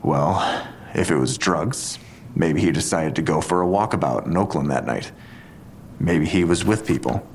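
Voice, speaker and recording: An actor playing a skeptical police detective in an audio drama, talking in a terse, dry, deliberate way. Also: male voice, deep voice, a little hiss or hum. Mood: musing.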